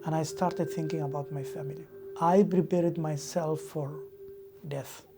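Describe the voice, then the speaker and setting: A man from Somalia, a journalist, recalling his experiences in slow, halting testimony. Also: fairly high pitch, steady tone, music in the background. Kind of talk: documentary testimony. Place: United Kingdom.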